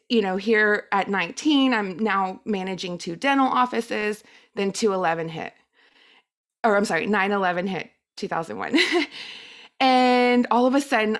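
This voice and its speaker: Middle-aged female guest speaker, first language Spanish, mid-range voice, earnest and emphatic personal storytelling. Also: medium pitch. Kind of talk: personal testimonial talk.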